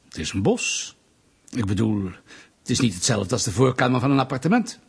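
An actor playing a patronizing, sardonic Soviet official in a radio drama, mock-polite and bullying. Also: male voice, medium pitch, very animated, brisk, creaky, husky, heavily acted. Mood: condescending, mocking. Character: menacing, condescending male Soviet state official, bureaucratic bully.

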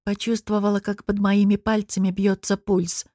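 A woman reading first-person fiction as an expressive audiobook narrator. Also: mid-range voice, normal speed, dry recording, studio quality.

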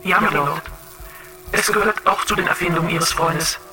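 A woman's voice: on the low side, moderate pace, music in the background, lightly acted, poor audio. An actress playing a serene, clairvoyant female android in a radio drama, speaking with dreamy eloquence. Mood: wistful, lofty. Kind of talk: German radio drama acting.

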